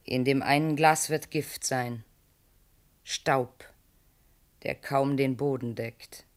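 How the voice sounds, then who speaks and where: An actress playing a cold, insistent woman who urges a man to murder, speaking calmly and at times in a whisper. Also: rumbling low, takes it slowly. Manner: calm, insistent, sometimes whispering.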